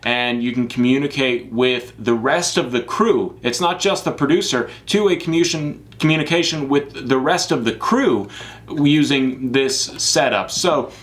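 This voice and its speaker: Male product reviewer, average pitch, casual and chatty delivery, emphatic, informal asides, talking straight to camera. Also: normal speed, a bit bright. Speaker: product reviewer, live sports production crew. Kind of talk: casual semi-scripted gear review to camera.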